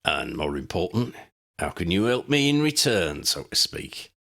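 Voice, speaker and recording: A man voicing a gruff, shady middle-aged wizard dealer who talks in rough slang. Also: deep voice, sing-song, normal speed, a bit bright, exaggerated voice. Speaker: voice actor.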